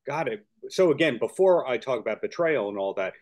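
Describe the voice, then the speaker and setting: A man's voice: mid-range voice, lively intonation, fairly quick, darkish tone. A man hosting a podcast interview over a video call, asking long, chatty, joking questions. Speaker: podcast host.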